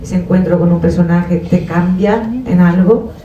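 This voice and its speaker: Low, mellow-voiced woman asking a question. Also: fairly even intonation, slight background noise. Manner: measured, reflective questioning. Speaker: audience member asking a question. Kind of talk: audience question at a conference Q&A. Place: conference hall. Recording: dull, echoey hall audio from a distant mic.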